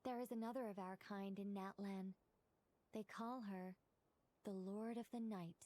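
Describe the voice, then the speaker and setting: A woman's voice: medium pitch, fairly even intonation, unhurried, dark tone, natural voice. A woman voicing a courteous, formal, self-conscious angel in a video game, speaking in a wordy, polite way. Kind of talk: game voice acting.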